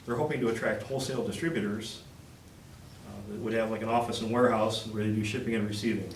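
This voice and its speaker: Man, lowish voice, methodical public comment, heard off-mic in a roomy hall. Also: fairly even intonation, slight background noise. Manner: measured, concerned, methodical. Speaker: resident speaking at public comment. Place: township meeting hall. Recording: echoey room mic from a distance.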